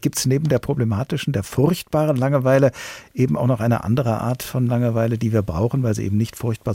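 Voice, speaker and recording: A male radio host with a dark, slightly husky voice in a dry studio sound. He moves between halting, filler-laden questions and clean scripted announcements. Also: mid-range voice, no room sound. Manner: hesitant questions with many fillers. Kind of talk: radio talk show moderation.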